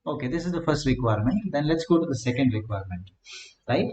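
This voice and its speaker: A man giving a classroom lecture on circuit design, speaking in slow, halting word groups and often checking in with "right?". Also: mid-range voice, expressive, dark tone. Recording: echoey room, faint background hum.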